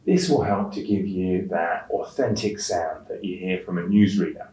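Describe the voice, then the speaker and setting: A man teaching an English test-prep lesson in a measured, clearly enunciated way, stressing key words. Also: deep voice, dark tone. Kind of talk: instructional lesson narration with read-aloud examples. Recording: roomy echo, distant microphone.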